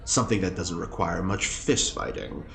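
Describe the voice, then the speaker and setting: An actor playing a refined, squeamish prison schemer in an audio drama, prim, wry and theatrically indignant. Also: male voice, low voice, expressive, normal speed, creaky, a bit bright, echoey room, exaggerated voice. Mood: wry scheming. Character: refined, fussy, squeamish male convict schemer in space prison.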